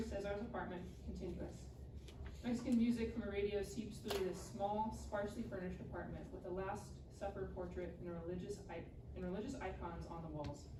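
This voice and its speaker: A woman reading scripted scene directions aloud in clipped phrases at a live table read. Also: mid-range voice. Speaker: stage-direction reader. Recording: echoey room, distant mic, background noise.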